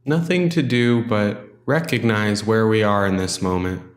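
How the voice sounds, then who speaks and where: A man with a fairly low voice guides a meditation in a roomy, echoing space. He speaks gently and without hurry, offering simple instructions and leaving generous pauses between them.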